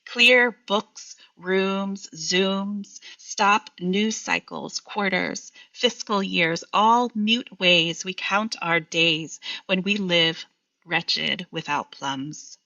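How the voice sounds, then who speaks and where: A woman's voice: on the low side, extremely slow, bright, forceful tone, no room sound, highs cut off. A Vietnamese American woman poet speaking thoughtfully and reflectively as a guest. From Vietnamese American, from the United States. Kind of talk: reflective conversation and poetry reading.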